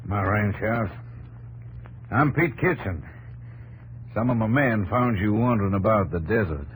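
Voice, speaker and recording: A man portrays a seasoned, fatherly desert rancher. The voice sits low and the manner is folksy and sure of itself. It comes from an old radio broadcast with faint noise. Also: a little slow, natural voice, poor audio. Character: seasoned older male rancher, fatherly, self-assured desert settler. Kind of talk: 1950s radio acting.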